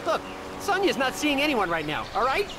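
A man's voice: very high voice, huge pitch swings, moderate pace, background noise, heavily acted. An actor playing a stern, impatient, protective male bodyguard, curt and threatening, in a game cutscene. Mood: defensive. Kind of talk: video game cutscene acting. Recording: music over the voice.

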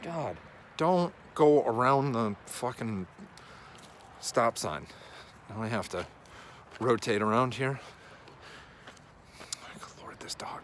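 A man's voice: medium pitch, slow, long pauses, a bit bright, a little hiss or hum. A man in his thirties, a coach, rambling casually and thinking aloud as he walks outdoors, talking into a handheld phone. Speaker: strength and conditioning coach. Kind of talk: casual walking vlog monologue. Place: outdoors on a suburban residential street. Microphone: phone held at arm's length.

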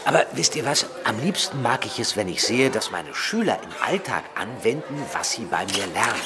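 A man's voice: medium pitch, sing-song, normal speed, crowd noise, close to an everyday voice. An actor playing a kind, encouraging male primary school teacher in a children's audio play. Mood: amused, friendly.

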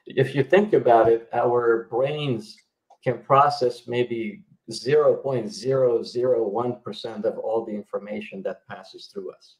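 A man's voice: mid-range voice, unhurried, a little mellow, echoey room, poor audio. A middle-aged man from Yugoslavia, a healer guest explaining his work over a video call, with many fillers and restarts. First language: Hungarian. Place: indoor home room.

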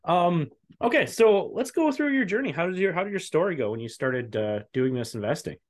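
A man's voice: sits high, big pitch swings, brisk. A man, hosting a casual interview over a video call. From Canada. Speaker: podcast host. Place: small room, on a video call. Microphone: video call, close to camera.

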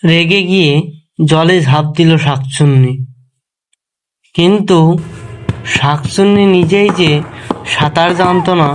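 Slow, higher-pitched male fairy-tale narrator. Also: slight background noise, poor audio.

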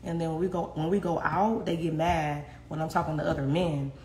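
A woman's voice: low voice, steady tone, fairly quick, darkish tone. A young woman chatting candidly with viewers on a phone livestream from her home kitchen, laughing often and swearing freely. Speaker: livestream host. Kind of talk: casual livestream chat with viewers. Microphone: phone at arm's length. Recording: echoey kitchen with faint noise.

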